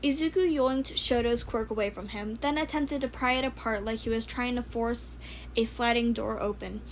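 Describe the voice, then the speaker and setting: A woman's voice: on the high side, normal speed, slight background noise. A woman reading scripted story narration as a fan fiction narrator.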